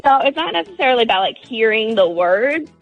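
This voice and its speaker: A woman's voice: fairly high pitch, very animated, brisk, dry recording, dull audio, soft background music. A woman calling a radio show, talking casually and candidly in a hesitant, wordy way. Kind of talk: casual, candid relationship talk.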